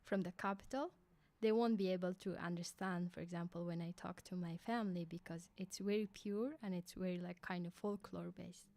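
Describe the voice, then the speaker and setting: A young woman from Afghanistan with an Afghan accent, a radio guest talking in a hesitant way with lots of fillers, into a boom mic in a studio. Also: fairly even intonation, brisk, darkish tone. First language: Hazaragi. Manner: hesitant, many fillers like "like" and "kinda". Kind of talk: casual interview answers. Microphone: boom mic with headphones.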